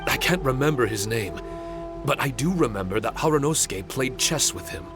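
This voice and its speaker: A man's voice: medium pitch, animated, normal speed, over loud music, close to an everyday voice. A man voicing an earnest, somewhat forgetful male puppet warrior in a game. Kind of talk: video game cutscene dialogue.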